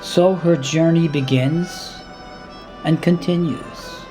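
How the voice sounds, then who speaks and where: A man reading his own dramatic monologue in a deliberate, intense spoken-word style, with weighty pauses and repeated words. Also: fairly high pitch, fairly even intonation, music in the background.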